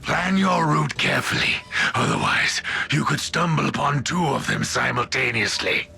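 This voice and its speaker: Very gravelly, dour tactician. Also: male voice, mid-range voice, lively intonation, moderate pace, bright, forceful tone, exaggerated voice. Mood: dour, stern. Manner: formal, stern, disdainful. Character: dour male tactical adviser, formal and scornful. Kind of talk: dramatic game cutscene dialogue.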